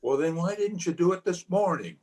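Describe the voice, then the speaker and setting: A man's voice: high-pitched, lively intonation, brisk, a little mellow. A man playing an exasperated but fond husband in old-time radio drama, with a testy, theatrical delivery. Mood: irritable. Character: exasperated, fond husband of nine years, sparring with the maid. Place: at home, recorded over video call.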